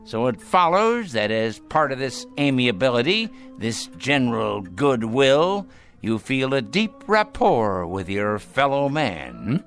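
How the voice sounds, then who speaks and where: An actor playing a sly, imperious old grandfather in a radio drama, speaking deliberately and theatrically, with a sardonic, probing manner. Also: medium pitch, very animated, a bit bright, soft background music. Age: older. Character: sly, imperious dying grandfather toying with his heirs.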